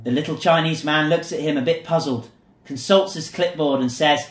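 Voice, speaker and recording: A man's voice: on the high side, moderate pace. A man hosting a podcast, chatting playfully and reading a joke aloud. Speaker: podcast host. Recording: roomy echo.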